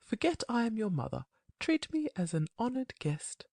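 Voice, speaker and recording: A woman's voice: fairly high pitch, very animated, moderate pace, darkish tone, no echo, clean studio sound, voice pushed up. A woman voicing a gracious upper-class mother who speaks in a grand, affected way.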